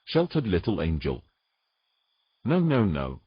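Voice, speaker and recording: A man playing a tender, protective knight in an audio drama, speaking softly and soothingly with a husky voice. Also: deep voice, wildly swooping pitch, measured pace, dry recording, highs cut off, full character voice. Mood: consoling. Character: tender, protective male knight comforting a mermaid.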